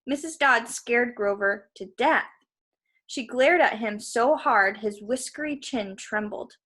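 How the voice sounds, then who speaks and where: Woman doing a read-aloud, kinda high voice, expressive, chats to listeners informally. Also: slow. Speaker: storybook reader. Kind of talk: read-aloud narration with casual asides to listeners.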